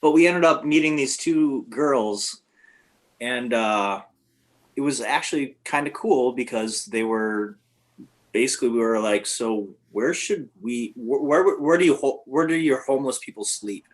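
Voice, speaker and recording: A man's voice: mid-range voice, a little slow. Rambling man telling a road story. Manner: rambling, full of fillers. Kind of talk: casual storytelling.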